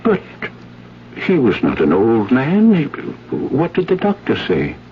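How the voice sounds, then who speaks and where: An actor playing a stern, fussy village priest in a 1970s radio drama, hesitant and admonishing. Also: male voice, mid-range voice, huge pitch swings, slow pace. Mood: flustered. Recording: dull, noisy 1970s radio broadcast.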